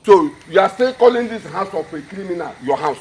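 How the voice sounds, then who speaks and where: A man's voice: sits high, animated, fairly quick, a crowd in the background, poor audio. An actor playing a taunting, pushy male in-law who mocks and gives orders. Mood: scornful. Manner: mocking, commanding.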